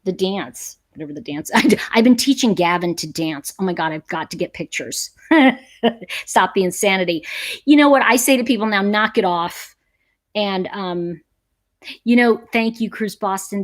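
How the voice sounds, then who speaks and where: A middle-aged American woman hosting a casual livestream chat, talking emphatically and conversationally and repeating phrases for stress. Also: mid-range voice. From United States. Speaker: livestream host; teacher. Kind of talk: casual livestream chat, reading and answering viewer comments.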